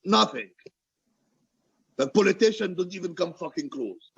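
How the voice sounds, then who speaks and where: Guy author with a raspy creaky voice, emphatic and worked up, slightly muffled dry recording. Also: sits high, lively intonation, normal speed, fairly bright tone. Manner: passionate, emphatic, repetitive. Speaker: author and poet answering audience questions. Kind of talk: animated, candid book-reading discussion.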